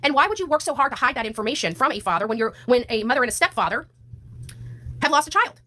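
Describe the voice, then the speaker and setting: A woman's voice: sits high, lively intonation, quick pace, sharp tone. An American woman talking off the cuff as a livestream host, ranting to her viewers in a blunt, indignant and slangy way. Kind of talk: casual livestream rant, replying to chat.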